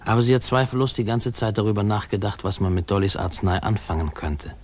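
A low-voiced actor playing a man remembering his small-town youth in an old radio drama. He narrates in a measured, reflective way, with dry wit. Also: a little mellow, a little hiss or hum, close to an everyday voice. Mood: wry, reflective. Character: adult man wryly recalling his small-town boyhood. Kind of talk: first-person narration in a 1950s German radio play.

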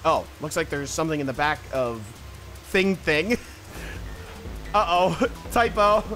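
A man's voice: on the high side, lively intonation, takes it slowly, fairly bright tone, faint music behind. A man streaming a puzzle adventure game, casually commenting, reading text aloud and muttering to himself.

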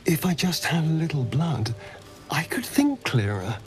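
A man's voice: on the high side, huge pitch swings, unhurried, faint music behind. An actor playing a suave, sly vampire man in a video game, with theatrical, dramatic delivery and an undercurrent of need. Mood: pleading, panicked. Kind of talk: video game character acting.

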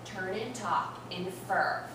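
A young woman teaching an interactive read-aloud to young children, expressive and instructive, stressing key words slowly. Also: mid-range voice. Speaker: primary school teacher. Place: elementary classroom, indoors. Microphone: far camera. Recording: roomy, noisy classroom, distant camera microphone.

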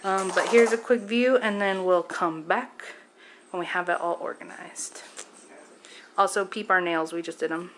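A woman's voice: lowish voice, moderate pace, a bit bright, slight background noise. A young woman vlogging at home, chatting casually and giving blunt, joking opinions while showing her groceries and pantry. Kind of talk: casual chat.

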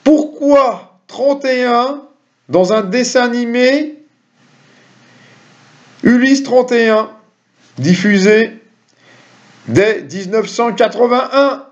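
Middle-aged male quiz host, high voice, jokey asides, dull lo-fi webcam recording. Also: lively intonation, takes it slowly, long pauses. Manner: jokey, chatty asides to viewers. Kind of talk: reading quiz questions to camera. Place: small room at home. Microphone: webcam or computer mic at desk.

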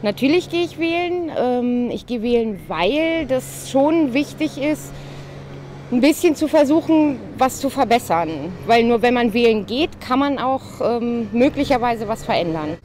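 A woman's voice: fairly high pitch, lively intonation, unhurried, a little mellow. Earnest young woman, polite. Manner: earnest, polite, slightly apologetic. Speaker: street interviewee. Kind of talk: casual street interview answers. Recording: faint outdoor traffic noise.

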